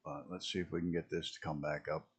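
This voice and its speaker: A man with a rough early-morning voice, chatting casually and rambling as he streams a flight simulator. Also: a bass voice, flat delivery, quick pace. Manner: rambling, self-interrupting, chuckling at mishaps. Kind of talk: casual live-stream commentary.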